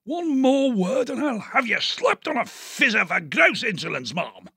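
A pompous old hare colonel. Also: male voice, very high-pitched, huge pitch swings, unhurried, fairly bright tone, breathy edge, no echo, clean studio sound, voice pushed up, exaggerated voice. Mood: outraged. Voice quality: fussy and indignant. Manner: barking indignant outbursts. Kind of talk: audiobook character voice.

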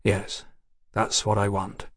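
A man voicing a drunk, earnest, educated gentleman who broods and pontificates in an audiobook story. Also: on the low side, completely flat delivery, slow pace, no room sound, studio quality. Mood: smitten, tipsy.

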